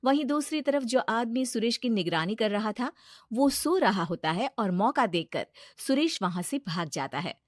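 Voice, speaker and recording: Woman storyteller, slightly high, close dry sound. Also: lively intonation, normal speed, dry recording. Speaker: narrator. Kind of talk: scripted Hindi moral story narration.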